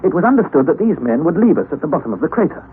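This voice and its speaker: An actor playing an earnest young nephew, narrating an old-time radio drama in a measured, precise, emphatic way. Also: male voice, on the high side, very animated. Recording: noisy, tinny vintage radio recording.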